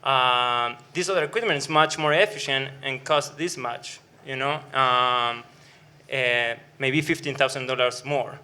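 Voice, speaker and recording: A man with an Argentine accent speaking on a brewing panel, halting with many fillers and restarts, mixing in joking asides. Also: medium pitch, bright, forceful tone. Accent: Argentine Spanish. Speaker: brewing operations director on a panel. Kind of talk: panel discussion.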